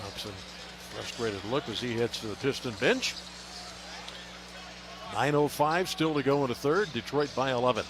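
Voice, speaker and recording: A man with an American accent calls a basketball game live on radio. His pitch is average and his phrases come short and clipped. Loud arena ambience sits behind him. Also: moderate pace, pauses often, very noisy. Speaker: play-by-play radio announcer. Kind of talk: live basketball play-by-play commentary. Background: arena ambience, bouncing basketball.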